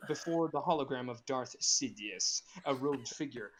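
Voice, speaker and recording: A man's voice: medium pitch, expressive, normal speed, a bit bright, slight background noise, rough recording. A man narrating screenplay directions in a casual group read, dropping in amused asides.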